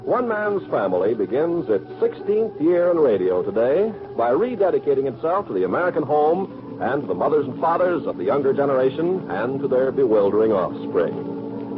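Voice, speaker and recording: A man announcing a 1940s radio show from a script in a formal, declamatory way. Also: fairly high pitch. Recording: tinny old radio broadcast, music behind. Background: music.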